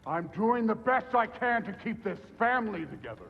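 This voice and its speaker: A man playing a gruff, weary Norse thunder god and devoted father in a dramatic game cutscene, gravelly and plainspoken. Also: high voice, big pitch swings, moderate pace, in a large hall, lo-fi sound, exaggerated voice. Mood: defensive.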